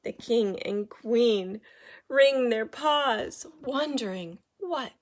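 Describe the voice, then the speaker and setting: A woman reading a children's story aloud in an animated, dramatic storytelling manner. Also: fairly high pitch, drawn-out words. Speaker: storybook reader.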